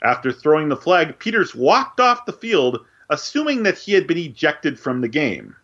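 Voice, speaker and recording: A man co-hosting a sports podcast, cracking dry jokes in chat and reading the news in a measured way. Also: on the high side, very animated, fairly bright tone. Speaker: podcast co-host. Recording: dull lo-fi mic.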